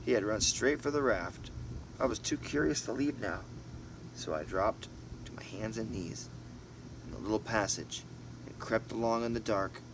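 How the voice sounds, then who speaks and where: A man narrating a storybook as an amateur reader, expressively, in short halting phrases with casual asides. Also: on the low side. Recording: noisy, distant mic, vehicle-like background hum.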